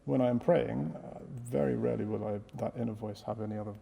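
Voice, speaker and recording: A man's voice: medium pitch, fairly even intonation, fast, creaky, dark tone. A middle-aged man from England, a priest, talking reflectively in a casual panel discussion with hesitant restarts. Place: church hall. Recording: long reverb in a hall.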